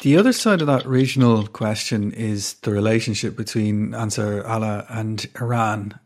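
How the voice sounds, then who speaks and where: A man hosting a podcast with scripted narration, measured and formally worded. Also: fairly low pitch, a little mellow. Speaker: podcast host.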